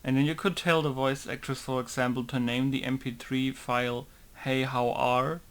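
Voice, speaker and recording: A man's voice: mid-range voice, little pitch movement, moderate pace, fairly bright tone. Rambling man explaining mod setup. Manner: rambling, says "basically" again and again. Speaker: game modder. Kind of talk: casual screen-recorded tutorial.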